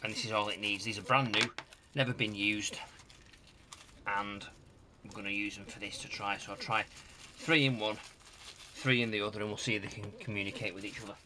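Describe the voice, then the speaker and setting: A middle-aged British man giving casual hands-on repair commentary, musing aloud in a halting, hesitant way. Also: on the low side, steady tone, pushed and bright, slight background noise. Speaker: host of a retro tech repair channel. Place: home workshop loft.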